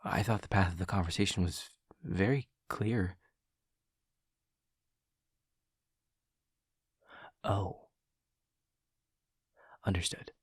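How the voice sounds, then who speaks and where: An awkward young demon student, acted by a man for an audio roleplay, with a low, husky voice recorded close and dry. He is earnest and painfully literal, choosing formal, precise words and pausing as he over-explains. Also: dry recording. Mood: puzzled, earnest. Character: awkward, earnest, literal-minded male demon classmate and friend. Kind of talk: intimate audio roleplay acting.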